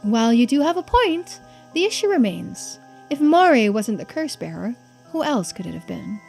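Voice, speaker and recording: A woman's voice: on the high side, sing-song, creaky, darkish tone, faint music behind, pitched above the performer's own voice. Woman voicing a composed, formal investigator. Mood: thoughtful. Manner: measured, polite, questioning. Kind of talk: video game character voice.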